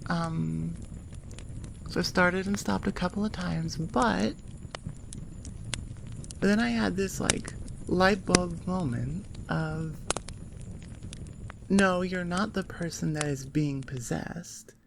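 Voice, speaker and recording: A woman's voice: lowish voice, slow pace, creaky, pauses often, background noise. A woman audio creator chatting casually to her listeners in an informal, self-deprecating, rambling way. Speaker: ASMR audio creator and host.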